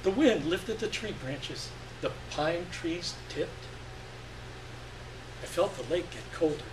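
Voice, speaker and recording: A man reads literary fiction aloud on stage with deliberate, expressive phrasing. His voice is fairly high and very unhurried, picked up from a distance in a roomy space. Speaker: story reader. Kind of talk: dramatic reading of literary fiction to a live audience. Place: live stage reading event. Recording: echoey room, distant mic, faint noise.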